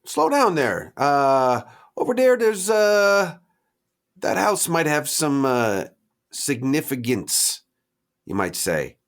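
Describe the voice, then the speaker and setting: Man acting sly vampire sidekick, stammering, folksy, highish voice leaping up and down, tabletop role-play. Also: sing-song, slow pace, full character voice. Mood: hesitant, sly. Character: hesitant, sly male vampire sidekick, Bob.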